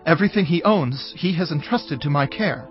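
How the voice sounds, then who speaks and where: A man plays an earnest young servant of ancient Egypt in a scripture drama. His voice sits a bit high and he speaks in declarations. The sound is slightly dull, over soft music. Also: lively intonation, moderate pace, lightly acted. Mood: earnest, protesting. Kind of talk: dramatized audio Bible acting. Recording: muffled audio with music underneath. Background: background music.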